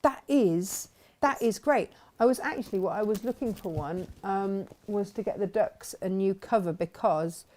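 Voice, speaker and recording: A woman's voice: mid-range voice, moderate pace, mellow. Chatty woman, laughing often. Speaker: co-host. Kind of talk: casual chat on a crafting show.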